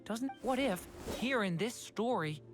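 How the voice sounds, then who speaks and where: A woman playing a gentle, self-doubting young dragon boy in an anime video game, hesitant and earnest. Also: fairly high pitch, expressive, unhurried, over music. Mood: anxious, pleading.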